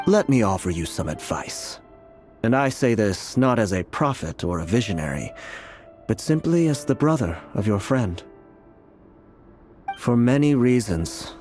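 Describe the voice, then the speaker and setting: An actor playing a calm, wise, guarded prophet in a fantasy video game, speaking in a grave, measured and formal manner. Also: male voice, lowish voice. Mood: guarded, frank. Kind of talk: dramatic video game voice acting. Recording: background music under the voice.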